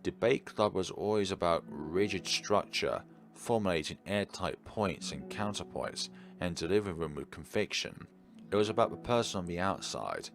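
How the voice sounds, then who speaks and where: A man's voice: sits low, normal speed, vocal fry. A man reading a visual novel aloud and commenting on it in a theatrical, slightly formal way over game music. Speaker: video game playthrough host. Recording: music behind the voice.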